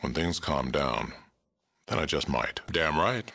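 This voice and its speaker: Very deep, gravelly mutant sheriff. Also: male voice, very animated, a little slow, sharp tone, heavily acted. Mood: businesslike, gruff. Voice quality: gravelly, rumbling. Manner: blunt, terse, dry delivery. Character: gruff, aging super mutant sheriff, world-weary but fair-minded. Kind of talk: video game character dialogue.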